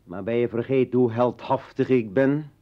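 An actor playing a defiant, sardonic revolutionary and failed poet in a radio drama, with a mocking, theatrical manner. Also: male voice, mid-range voice, normal speed, close to an everyday voice. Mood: wry, mocking. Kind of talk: Dutch radio drama acting. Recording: muffled old radio play recording.

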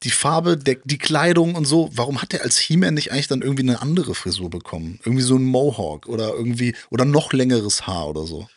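A man's voice: mid-range voice, lively intonation, fast, few pauses, no room sound, clean studio sound. A middle-aged man in casual, slangy, chatty conversation, often tagging his phrases with a short question. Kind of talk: casual chat about retro cartoons. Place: small indoor room with shelves of films and games. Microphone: handheld microphone held close.

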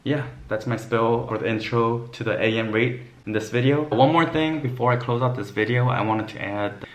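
A man's voice: mid-range voice, steady tone, moderate pace, reverberant. A man in his thirties talking casually to a camera, explaining things from his own experience in a small bare room. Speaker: vlogger, former Navy aviation mechanic.

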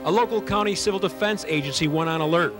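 A man narrates a documentary from a script. His voice sits a little high, with music playing behind it. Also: fast.

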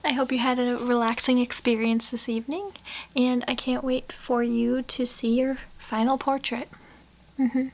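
A young adult woman speaking softly and politely up close, in an ASMR personal-attention roleplay as a portrait painter serving a client. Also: fairly high pitch, little pitch movement, normal speed, a little hiss or hum, poor audio. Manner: soft-spoken, polite customer service. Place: small indoor craft room.

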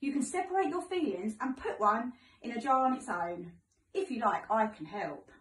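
A British woman in her thirties, a teacher reading a picture book aloud to young children in an expressive, gentle way. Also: a little high, normal speed. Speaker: primary school teacher. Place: living room, indoors. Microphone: phone camera a few metres away. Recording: echoey room, far from mic.

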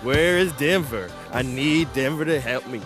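A man's voice: medium pitch, unhurried, music in the background, lightly acted. A young man playing a goofy, clingy, joking basketball rookie in a video game cutscene, rambling playfully in slang. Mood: playful, pestering. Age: young adult. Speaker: rookie teammate.

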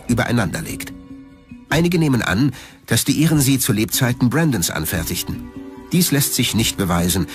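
Guy narrating a documentary, lively intonation, music under it. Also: mid-range voice. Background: background music.

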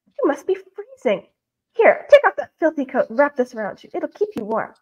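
A woman's voice: high-pitched, wildly swooping pitch, moderate pace, a little mellow, dull audio. A woman voicing a motherly, hospitable wife who fusses and insists, in a storybook reading. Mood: fussing, concerned.

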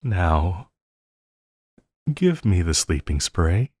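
A man playing a possessive supervillain lover in an intimate audio roleplay, coaxing and pleading with a halting delivery and quiet menace. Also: low-pitched, very animated, husky, no echo, full character voice. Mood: coldly sweet.

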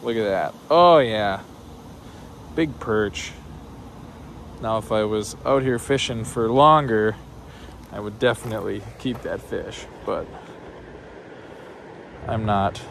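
A man's voice: on the low side, takes it slowly, gravelly, long pauses. Upbeat man on a noisy riverbank. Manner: upbeat, excitable, chuckling. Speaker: fishing vlogger. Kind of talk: casual outdoor vlog narration. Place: outdoors on a rocky riverbank below a dam. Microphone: body-worn action camera. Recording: noisy outdoor camera audio. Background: passing train, wind and outdoor noise.

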